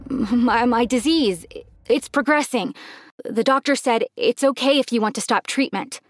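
Woman voicing a halting, apologetic, evasive father. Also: a little high, close to an everyday voice. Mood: nervous, evasive. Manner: halting, stammering, pleading. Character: apologetic, evasive middle-aged father confessing his lies. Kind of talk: dramatized storytelling dialogue.

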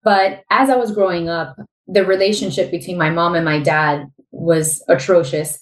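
A woman's voice: fairly low pitch, fairly even intonation, normal speed, echoey room. A woman in her thirties with a Miami accent, a guest telling her story openly in a casual interview. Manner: open, candid storytelling.

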